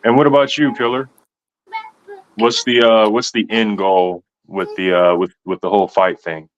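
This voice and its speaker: A man's voice: lowish voice, little pitch movement, normal speed, dull audio. A man in his thirties hosting a casual interview, laid-back and slangy, calling people man and bro. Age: 30s. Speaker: host.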